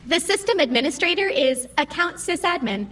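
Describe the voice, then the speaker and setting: A timid female computer in an audio drama. The voice is high and moves through a lively range, with formal, exact, literal wording that sounds easily wounded. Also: moderate pace. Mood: hurt, pleading. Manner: formal, precise, literal phrasing with few contractions. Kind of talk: scripted audio drama acting.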